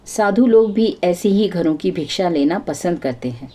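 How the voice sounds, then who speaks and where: A woman's voice: fairly low pitch, animated, moderate pace, mellow. A woman storyteller narrating a scripted moral folk tale.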